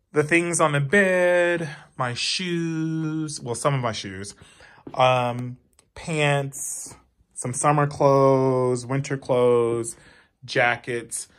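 A man's voice: medium pitch, a bit bright. A man in his thirties, stuffed up and nasal, chatting to viewers as he films a casual tour of his home while it is being packed up. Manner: chatty, emotional, addresses viewers as y'all. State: nasal congestion. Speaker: vlogger. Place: house being packed up, indoors. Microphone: handheld phone camera.

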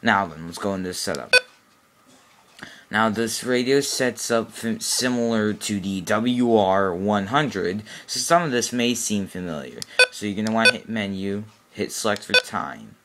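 A man's voice: lowish voice, expressive, measured pace, background noise. A man giving an informal, unscripted how-to demonstration in a matter-of-fact, instructional way, recorded indoors on a handheld camera. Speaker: product demonstrator. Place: small cluttered room indoors.

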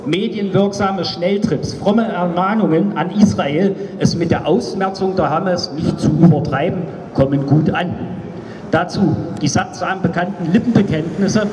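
A man in his fifties giving an emphatic, declamatory political speech at a rally, partly read from notes, over a loudspeaker outdoors. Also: sits high, a little hiss or hum. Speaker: speaker at a political street rally. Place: outdoors on a cobbled town square at night. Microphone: handheld microphone through loudspeaker, recorded by a far camera. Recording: echoey outdoor PA, far from camera.

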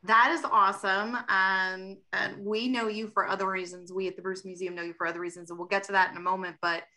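Museum host, woman on a webcam call; bright, upbeat, quick moderator. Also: medium pitch, little pitch movement. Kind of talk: moderating a video call panel. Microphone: video call webcam.

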